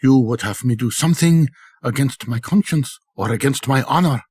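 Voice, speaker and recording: A man's voice: mid-range voice, normal speed, darkish tone, breathy edge, no room sound, clean studio sound, pitched above the performer's own voice, natural voice. An older man voicing a hesitant old cobbler in a storybook, wary and protesting.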